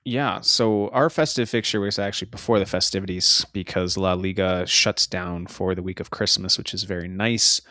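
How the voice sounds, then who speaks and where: Podcast co-host, man, lowish voice, rambling match recaps, dry sound. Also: steady tone, normal speed, hardly any pauses, bright, forceful tone, no room sound. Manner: rambling, chatty play-by-play recaps. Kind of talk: casual soccer podcast chat.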